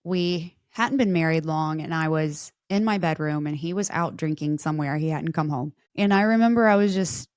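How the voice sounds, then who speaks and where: A woman's voice: low-pitched, normal speed, hardly any pauses, a little mellow, no room sound, studio quality. A woman in her forties, a podcast guest, telling personal stories in casual chat and acting out the voices of people in them. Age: 40s.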